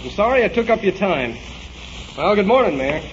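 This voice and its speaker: Headstrong young American male student, high, animated voice, earnest vintage radio drama. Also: normal speed. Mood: stubborn, hurt. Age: young adult. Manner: earnest, insistent. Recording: muffled, noisy vintage radio recording.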